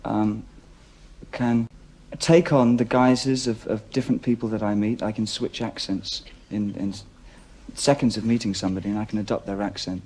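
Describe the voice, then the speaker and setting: A man speaking reflectively about himself in a hesitant, measured way. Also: fairly low pitch, fairly even intonation, a little mellow, slightly rough. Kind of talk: reflective interview.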